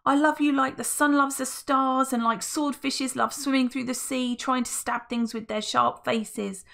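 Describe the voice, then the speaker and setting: A woman voicing an excitable, earnest young man who speaks theatrically and gushes. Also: a little slow, gravelly, full character voice. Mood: lovestruck. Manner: high-pitched, theatrical and gushing.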